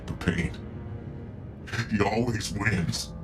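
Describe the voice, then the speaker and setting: A man playing a tormented captive agent in a video game, speaking in a halting, strained and pained way. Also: on the low side. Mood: anguished. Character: tormented captive male agent turned into a power guard. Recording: music behind the voice.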